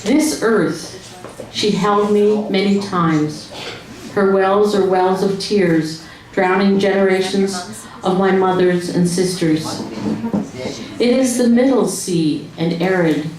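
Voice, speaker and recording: A woman speaks at a live poetry reading in a slightly low voice. Her delivery is very slow, with measured, deliberate phrasing. The room is strongly reverberant. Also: a little mellow. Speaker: poetry reader. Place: indoor room at a live event. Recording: very echoey room, faint noise.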